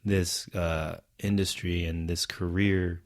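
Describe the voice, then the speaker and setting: A man's voice: low-pitched, level tone, slow pace. A middle-aged man whose first language is Vietnamese, talking casually and animatedly as a podcast guest and stunt performer.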